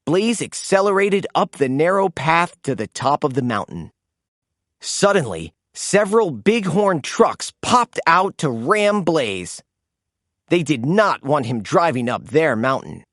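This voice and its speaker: Slow, very animated storybook narrator. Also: medium pitch, dry recording, studio quality. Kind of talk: animated children's read-aloud narration.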